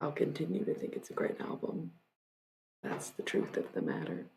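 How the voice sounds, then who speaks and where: Young woman from michigan, low gravelly voice, casual live stream chat, jokey and self-mocking. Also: very animated, moderate pace, a little mellow, a little hiss or hum, rough recording. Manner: joking, self-mocking, freestyles rap lines.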